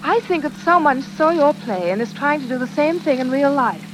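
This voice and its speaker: A woman's voice: sits high, expressive, moderate pace. An actress playing an earnest, devoted, well-bred young woman in refined, emotive 1940s radio drama style. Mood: anxious, tender. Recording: noisy, hissing old radio recording.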